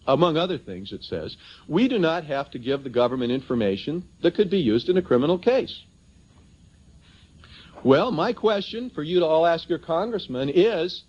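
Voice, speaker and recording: A man with a fairly high voice delivers a persuasive seminar talk, swinging widely in pitch as he stresses his points. He is emphatic and anecdotal, often posing questions to his listeners. The recording is dull and lo-fi, like an old tape. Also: darkish tone. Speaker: seminar speaker. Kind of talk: persuasive public seminar talk, addressing the audience.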